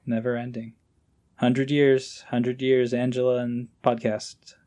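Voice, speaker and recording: A man's voice: medium pitch, level tone, slow pace, warm tone. A man chatting casually as a podcast guest, self-deprecating and playfully dramatic. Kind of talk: casual chat.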